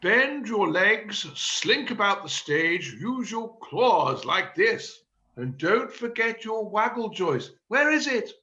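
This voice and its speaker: A man's voice: high-pitched, sing-song, takes it slowly, sharp tone, muffled recording, full character voice. A man playing an exasperated, theatrical amateur stage director in a read-through of a comedy play. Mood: anxious, bossy.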